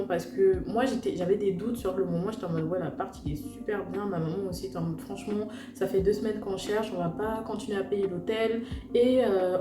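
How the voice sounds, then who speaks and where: A young woman from French Guiana casually telling a personal story to her viewers in a slangy, chatty way full of fillers and asides. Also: medium pitch. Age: young adult. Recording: echoey room with loud music behind.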